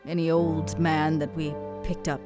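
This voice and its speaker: A woman's voice: low-pitched, flat delivery, unhurried, over music. Woman playing a weary, proud, dramatic club owner. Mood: somber. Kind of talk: in-character tabletop roleplay.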